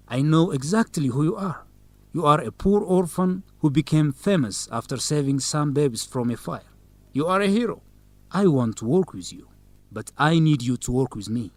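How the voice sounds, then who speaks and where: An actor playing a manipulative young student council president in a radio drama, formal, measured and insistent. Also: male voice, mid-range voice, animated, no echo, close to an everyday voice. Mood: pressuring. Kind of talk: educational radio drama acting.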